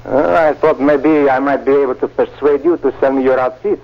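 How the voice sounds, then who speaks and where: An actor playing a falsely friendly, cynical schemer in old-time radio style, with a folksy, coaxing manner. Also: male voice, medium pitch, fairly even intonation, a little fast, natural voice. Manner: coaxing, folksy, hesitant interjections. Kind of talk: 1940s radio acting. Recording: dull old radio drama recording.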